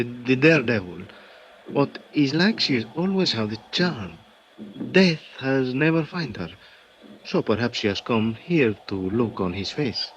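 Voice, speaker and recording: An actor playing an earnest Mexican official who speaks halting, Spanish-accented English full of "uh" fillers, in a 1950s radio drama. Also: male voice, medium pitch. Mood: curious. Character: earnest Mexican male official at a crash site. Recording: dull old radio transcription with faint hiss.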